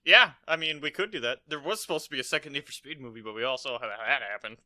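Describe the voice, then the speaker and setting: A man's voice: mid-range voice, fast, sharp tone. A man co-hosting a podcast in casual movie-review chat, blunt and given to swearing. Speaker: podcast co-host.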